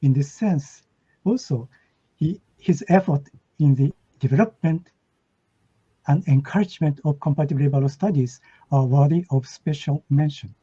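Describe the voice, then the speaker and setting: A man's voice: on the high side, moderate pace, mellow, slightly rough. Man reading a formal award speech, muffled. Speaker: award presenter. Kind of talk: read prepared speech. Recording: muffled lo-fi audio.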